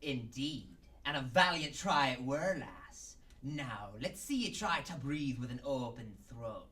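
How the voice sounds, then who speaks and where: An actor playing a crooked, mocking, hot-tempered pirate captain in a radio drama, speaking in thick stage-pirate dialect. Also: male voice, medium pitch, animated, unhurried, pushed and bright, recorded from a distance, a little hiss or hum. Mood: sly, taunting.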